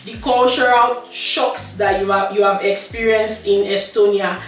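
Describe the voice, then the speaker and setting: A woman's voice: mid-range voice, steady tone, unhurried. A young woman hosting a casual group chat on her vlog. She talks in a bubbly way and laughs easily. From Nigeria. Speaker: vlog host. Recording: very echoey room, far from mic.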